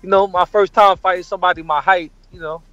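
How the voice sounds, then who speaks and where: A man's voice: high voice, steady tone, fast talker, fairly bright tone, no room sound, lo-fi sound, soft background music. A man in his forties from New York, calling in as a fighter and talking brash, slangy trash. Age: 40s. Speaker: caller, fighter. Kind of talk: casual call-in chat.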